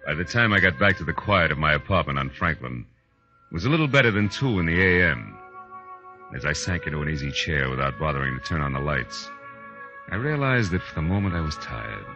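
An actor playing a wry, world-weary private detective in 1940s radio noir style. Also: male voice, a bass voice, sing-song, fairly quick, music in the background, full character voice. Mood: weary, reflective. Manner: wry, familiar, hard-boiled. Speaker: lead actor and narrator. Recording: dull 1940s radio recording.

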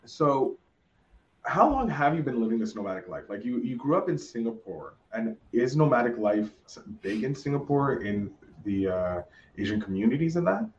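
A man's voice: medium pitch, very animated, moderate pace, recorded from a distance, highs cut off, a little hiss or hum. A man hosting a podcast interview, chatty and enthusiastic, often restarting his sentences. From Canada. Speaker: podcast host. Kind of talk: casual interview chat. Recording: echoey roomy sound from off-mic.

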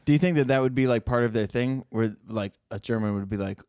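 A man's voice: mid-range voice, fast talker, darkish tone, clean tone, dry recording. Man, comedy podcast co-host, casual storytelling, stammers on starts, dry close sound.

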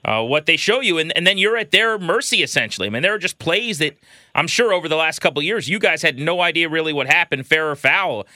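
A man's voice: a little high, animated, quick pace, bright, forceful tone, dry recording. A man co-hosting a sports radio show, chatting and putting questions to a guest. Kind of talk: conversational radio interview.

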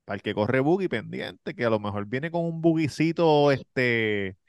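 A Puerto Rican man in relaxed, joking podcast banter, his voice smooth and resonant. The sound is dry and close, with no room around it. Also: medium pitch, normal speed, no echo. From Puerto Rico. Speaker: podcast host. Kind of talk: casual chat.

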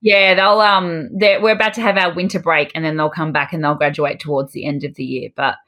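A woman's voice: low-pitched, steady tone, brisk, fairly bright tone. An Australian woman chatting casually as a podcast co-host. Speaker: podcast co-host, dental hygienist and educator.

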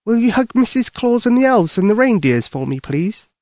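A man's voice: high voice, very animated, normal speed, a little mellow, no echo, studio quality, voice pushed up. A man voicing a sweet, eager little girl in a bedtime story, earnest and full of childlike questions. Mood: happy, affectionate.

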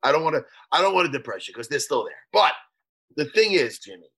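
A man's voice: on the high side, big pitch swings, fast talker, fairly bright tone, breathy edge. A man co-hosting a podcast, trading jokes and teasing banter in casual chat. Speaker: podcast co-host.

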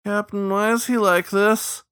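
Man voicing a high, weary ferret stowaway. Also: fairly even intonation, measured pace, fairly bright tone, clear, resonant tone, no echo, studio quality. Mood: weary exasperation. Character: weary, grumbling young male ferret stowaway.

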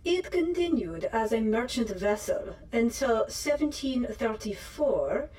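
A woman playing a calm, literal ship's computer in an audio drama, speaking formally and precisely. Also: on the high side, unhurried, low-quality recording. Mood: matter-of-fact.

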